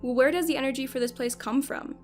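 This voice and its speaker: A woman's voice: high voice, steady tone, fast, darkish tone, natural voice. A woman playing a curious, candid, teasing detective in an amateur sci-fi audio play. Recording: music under the voice.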